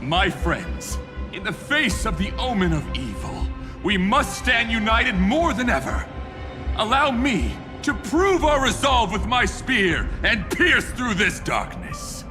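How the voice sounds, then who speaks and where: A man's voice: high-pitched, sing-song, slow pace, heavily acted. A man playing a gallant knight devoted to beauty in a video game, declaiming in florid, theatrical speech. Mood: rousing, grand. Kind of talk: dramatic video game voice acting. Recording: long hall reverb, music behind. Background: game music.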